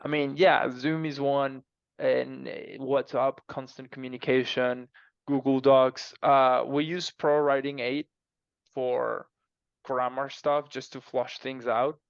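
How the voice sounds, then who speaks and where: A man speaking as an interview guest in casual, halting conversation, repeating words as he explains. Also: medium pitch, level tone, vocal fry, clean tone, no echo, lo-fi sound. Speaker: podcast guest, fiction co-author.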